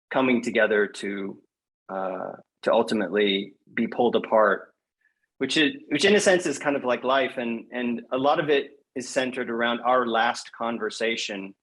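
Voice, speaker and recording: A man speaking as a guest poet in a measured, reflective, slightly hesitant way, as at a reading or interview. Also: lowish voice, a bit bright, poor audio. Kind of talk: poetry reading and conversational interview.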